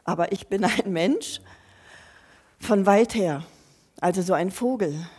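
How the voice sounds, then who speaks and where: A woman delivering a sermon at an unhurried, slow pace. Her voice sits in a middle pitch, and she talks to her listeners in an earnest, personal way. She often restarts and repeats phrases as she works through her thoughts. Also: a little mellow, husky. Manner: restarts and repeats phrases while thinking aloud. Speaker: preacher.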